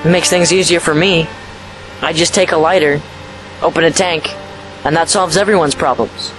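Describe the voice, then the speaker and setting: Performer as troubled, defiant boy, very high-pitched. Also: fairly even intonation, normal speed, fairly bright tone, slight background noise, close to an everyday voice, rough recording. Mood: defiant, bitter. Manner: stubborn, earnest. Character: troubled, defiant, guilt-ridden young boy. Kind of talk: fan audio drama acting.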